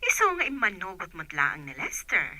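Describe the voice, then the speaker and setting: A woman's voice: fairly low pitch, huge pitch swings, normal speed, sharp tone, full character voice. A woman playing a reassuring, courteous doctor in a radio drama, heard through a telephone filter.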